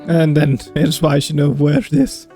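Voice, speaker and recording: A man comments casually on a game he is playing. His voice is fairly high for a man and gravelly, and he speaks slowly. Faint music plays behind him. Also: level tone, warm tone. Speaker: gameplay streamer.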